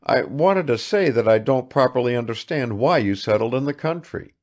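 A man's voice: mid-range voice, animated, normal speed, voice pushed up, close to an everyday voice. A man voicing a blunt, brooding young male intellectual with a harsh, argumentative manner in an audiobook. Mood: harsh, guarded. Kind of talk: dramatised literary audiobook dialogue.

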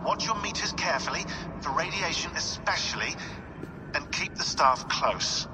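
An actor playing a talkative, fussy tech helper guiding a field agent by radio in a game cutscene. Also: male voice, on the high side, expressive, normal speed, natural voice. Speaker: remote support over radio. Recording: radio link under very loud game music.

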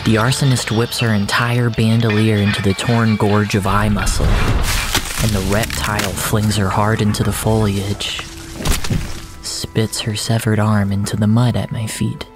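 A narrator of medium pitch reads dramatic first-person fiction. The delivery is very slow and deliberate, with room between phrases. Loud music plays all through under the voice. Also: flat delivery. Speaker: narrator of a surreal fiction story.

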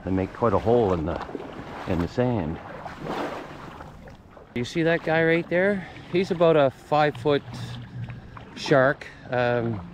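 Male fishing channel host, folksy and halting, unscripted vlog talk, clearly noisy recording. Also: mid-range voice, lively intonation. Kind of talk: casual fishing vlog narration. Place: garage, outdoor beach and shoreline, varied spots.

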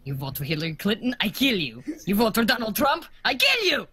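A woman's voice: medium pitch, huge pitch swings, a little fast, bright, forceful tone, breathy edge, exaggerated voice. A woman voicing a hot-headed revolutionary gem in an improvised comic cartoon fan dub, ranting with theatrical outbursts. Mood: fanatical, indignant.

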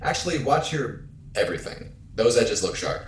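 A wary male spaceship companion in a sci-fi audio drama. His low voice is terse and dryly deadpan. It rings in an echoey space with slight noise. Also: moderate pace. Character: dry, cautious male companion aboard a spaceship.

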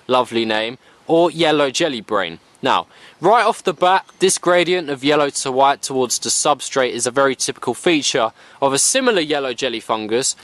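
A man's voice: a little high, a bit bright, no echo. Wry man narrating bushcraft. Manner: dry, wry, sarcastic asides. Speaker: bushcraft vlogger. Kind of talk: scripted voice-over narration.

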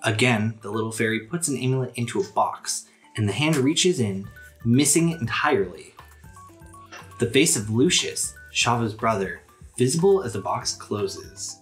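A man's voice: medium pitch, lively intonation, moderate pace, a bit bright, soft background music. A man acting as game master, narrating scenes of a tabletop role-playing game and chatting casually with players. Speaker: game master and podcast host.

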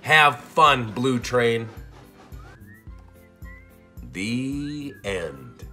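A man reading a children's storybook aloud in an expressive, sing-song and deliberate way. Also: mid-range voice, soft background music. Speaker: storybook narrator.

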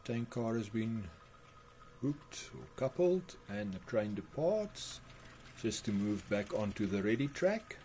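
A man narrating a hobby video in measured, broken phrases with pauses. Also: fairly low pitch, big pitch swings, a little mellow. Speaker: model railway hobbyist narrator. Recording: clearly noisy amateur recording.

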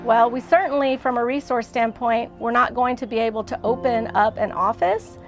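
A woman's voice: fairly high pitch, fairly even intonation, normal speed, faint music behind. A middle-aged woman, an executive director, explaining earnestly in a seated interview inside a parked van.

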